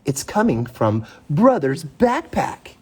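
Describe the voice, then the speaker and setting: Man voicing a slow, fussy mother bear. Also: a little high, sing-song, darkish tone, husky, pitched above the performer's own voice, heavily acted. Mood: suspicious. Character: fussy storybook mother bear, sniffing and suspicious.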